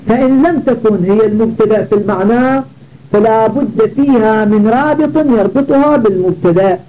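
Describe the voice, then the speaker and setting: Male grammar teacher, very high-pitched, methodical and repetitive, dull lo-fi recording. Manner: repeats and self-corrects, slows down for quoted verses. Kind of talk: explaining a classical grammar poem.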